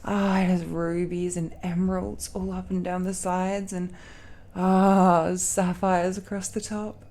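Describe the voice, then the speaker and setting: A woman's voice: lowish voice, little pitch movement, dark tone. A woman playing an affectionate, teasing dragon-blooded girlfriend in an intimate romantic audio roleplay, speaking in a coy and playful way. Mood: boastful, delighted. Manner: slow, playful, giggly, coy.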